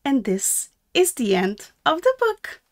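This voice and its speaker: A woman storyteller reads aloud for young children in a high, very animated voice. She is deliberate and sing-song, with exaggerated intonation and an unhurried, slow delivery. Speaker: storyteller reading a picture book aloud to children.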